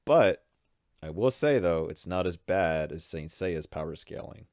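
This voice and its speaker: A man with a very deep, creaky voice chats casually as a podcast co-host. He keeps up playful, teasing banter with his friends. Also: animated, a little slow, a little mellow, dry recording, clean studio sound. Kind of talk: casual group chat about pop culture.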